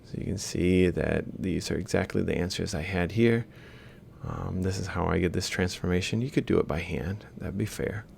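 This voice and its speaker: A man lecturing in a deep voice heavy with vocal fry. He explains things methodically, one step at a time, as if thinking aloud while he writes. There is some rumbly noise behind him. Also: moderate pace, a little mellow. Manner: explanatory, step by step, reciting values piecemeal. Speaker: university lecturer. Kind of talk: instructional screen-capture lecture, thinking aloud while writing.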